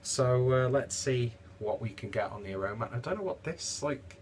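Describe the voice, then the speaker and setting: A man's voice: mid-range voice, expressive, normal speed, slight background noise. A young man with a stuffy, congested voice, casually reviewing a beer at home with rambling asides and lots of fillers. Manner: rambling, chatty, many fillers. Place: home kitchen, indoors.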